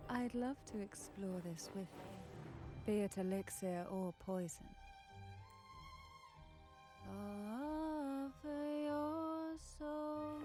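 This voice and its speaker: An actress playing a mysterious, alluring potion maker in a video game, with a teasing, measured, theatrical delivery. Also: female voice, on the high side. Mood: eerie singing. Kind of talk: video game story dialogue.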